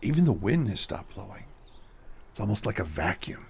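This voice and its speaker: A man plays a haunted con man in a radio horror tale. The voice is husky and creaky, muttering in halting, dramatic phrases. The pitch moves very widely. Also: big pitch swings, exaggerated voice. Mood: quiet contentment.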